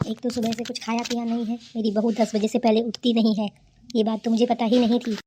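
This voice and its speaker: A woman's voice: fairly high pitch, level tone, a little fast, warm tone, slight background noise, close to an everyday voice. A woman playing an aggrieved older village mother-in-law in a cartoon, plaintive and grumbling. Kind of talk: cartoon character dialogue.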